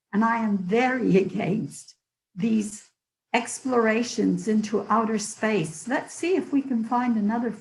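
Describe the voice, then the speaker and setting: An older woman with a hoarse voice, giving an earnest public lecture with stories and the odd filler. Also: mid-range voice, reverberant, rough recording. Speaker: guest lecturer.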